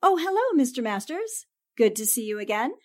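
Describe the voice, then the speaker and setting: A woman's voice: a little high, big pitch swings, normal speed, a little mellow. A woman playing a shrewd, confident newspaper reporter with poised, theatrical delivery in a 1940s-style radio drama. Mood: bright confidence. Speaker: voice actor.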